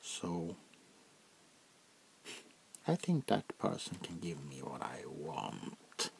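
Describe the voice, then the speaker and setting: A centuries-old vampire prince, acted by a man, murmurs in a very low, creaky half-whisper. He is haughty and quietly menacing, drawing out each command with slow condescension. It is a soft ASMR roleplay with audible noise behind the voice. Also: very animated, exaggerated voice. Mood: condescending, menacing. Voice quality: gravelly, breathy half-whisper. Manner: slow, deliberate, condescending, drawn-out pauses.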